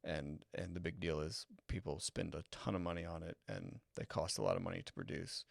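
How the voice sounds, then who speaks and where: A man's voice: low voice, fairly even intonation, fairly quick, gravelly, dry recording, studio quality. A man co-hosting a podcast in casual chat, agreeable and explaining his points at length. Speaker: podcast co-host.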